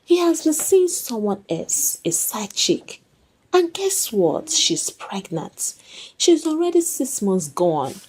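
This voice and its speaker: Woman as a cautious, high-pitched phone informant. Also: sing-song, a little mellow, breathy edge, full character voice, rough recording. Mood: cautious revelation. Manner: cautious, deliberate.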